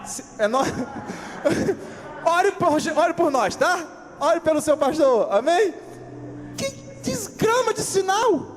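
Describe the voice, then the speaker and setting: A male pastor from Rio speaks with a Carioca accent and a notably high voice. He preaches with animation, acts out little scenes from daily life, and keeps calling for amens. The sermon rings through a church hall with a long echo and soft music behind it. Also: big pitch swings, takes it slowly. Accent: Carioca Brazilian Portuguese. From Rio de Janeiro, Brazil.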